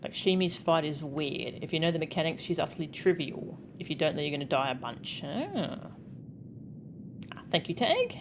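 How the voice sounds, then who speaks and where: Lower-voiced woman streaming, with background noise. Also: very animated, moderate pace. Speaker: game streamer. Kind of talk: casual live stream chat, reading game text aloud.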